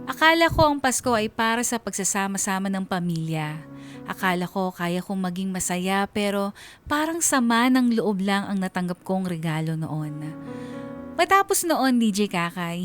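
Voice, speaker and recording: A woman's voice: medium pitch, close to an everyday voice. An actress playing a hurt young Filipino woman who confides her life story as first-person narration in a radio drama. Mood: sorrowful.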